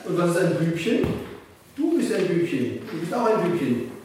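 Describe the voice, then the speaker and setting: A man's voice: fairly high pitch, sing-song, normal speed, darkish tone, slight background noise, low-quality recording. A middle-aged man, a music and German teacher, explaining things in spoken, unscripted style.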